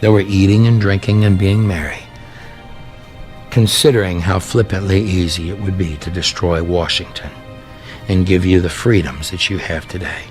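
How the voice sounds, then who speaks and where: Deep-voiced man preaching dramatically over music. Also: fairly even intonation, darkish tone. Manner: theatrical, emphatic, drawn-out dramatic phrasing with rhetorical questions. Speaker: preacher and storyteller. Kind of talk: dramatic sermon with read-aloud prophecy. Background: background music.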